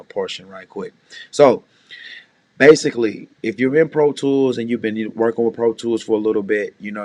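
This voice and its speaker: A man with a deep voice, a music producer casually talking beginners through mixing, often saying "you know what I'm saying". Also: darkish tone. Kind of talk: casual screen-share tutorial.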